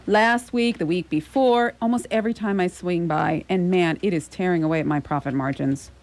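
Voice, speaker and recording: A woman's voice: on the low side, moderate pace, a little mellow, close to an everyday voice. A woman playing a nervous, dutiful grown son in a radio play, flustered and hesitant. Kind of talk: community radio theatre acting.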